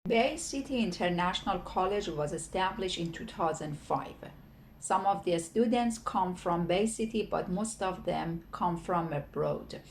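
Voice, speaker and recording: Woman, textbook narrator, very slow reading, faint background noise. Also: lowish voice, lively intonation, low-quality recording. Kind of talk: scripted narration for language learners.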